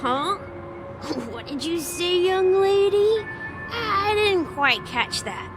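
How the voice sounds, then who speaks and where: Very high, slow, giddy dreamer. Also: female voice, lively intonation, exaggerated voice. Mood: befuddled, friendly. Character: giddy, dreamy escapist dweller of a pleasure city. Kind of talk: video game voice acting. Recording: loud game music behind the voice.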